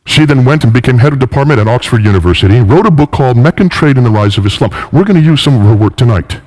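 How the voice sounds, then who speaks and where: A man's voice: mid-range voice, lively intonation, fast talker, darkish tone, rough recording. A middle-aged man giving a polemical history lecture, emphatic, with rhetorical questions and repeated phrases.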